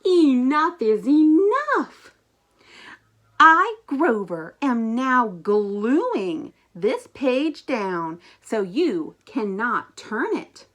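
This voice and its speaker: A woman's voice: a little high, sing-song, very slow, a bit bright, heavily acted. A woman voicing an anxious, exasperated male furry monster from a children's storybook, theatrical and pleading. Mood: determined. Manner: exasperated, emphatic, theatrical delivery.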